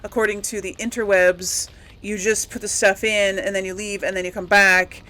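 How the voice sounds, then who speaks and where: A woman with a medium-pitched voice hosts a video game playthrough. She speaks to her viewers informally, in a chatty, rambling manner, as casual commentary. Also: little pitch movement, normal speed, bright, forceful tone, slight background noise, rough recording. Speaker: host of a video game let's play channel.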